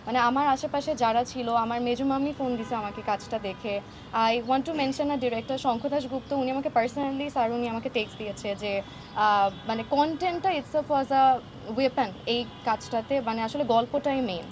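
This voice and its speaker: A woman with a very high voice gives press interview answers, slipping English phrases in. There is faint traffic noise behind her. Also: normal speed. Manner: mixes English words and phrases into speech. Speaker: actress being interviewed.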